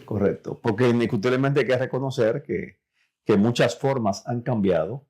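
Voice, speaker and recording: A man's voice: medium pitch, normal speed, a little mellow. A man speaking conversationally as a guest on an interview program. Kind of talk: conversational talk.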